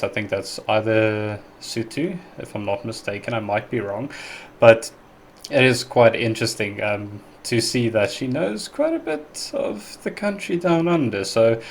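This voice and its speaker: A man's voice: mid-range voice, a little slow, creaky, slight background noise. A man from South Africa whose first language is Afrikaans, speaking English with a South African accent as he hosts a chatty reaction video. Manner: chatty and relaxed, with frequent ums. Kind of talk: casual reaction commentary.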